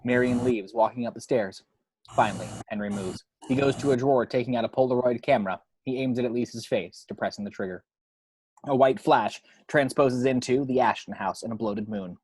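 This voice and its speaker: A man with a husky voice reading scripted screenplay action descriptions as narrator. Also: mid-range voice, fairly quick, a little mellow, no room sound, poor audio.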